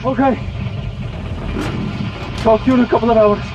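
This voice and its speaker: A muffled, noisy recording holds a frightened man, pitched high. He is a survivor seeking reassurance in an audio disaster drama. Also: steady tone, moderate pace. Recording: muffled, noisy inside vehicle.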